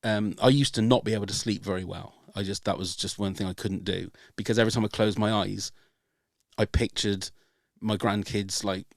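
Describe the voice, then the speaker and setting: A man's voice: lowish voice, fairly even intonation, normal speed, vocal fry, dry recording, clean studio sound. An older man hosting a podcast, talking hesitantly and openly about himself, with many ums and restarts. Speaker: podcast host. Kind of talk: casual chat.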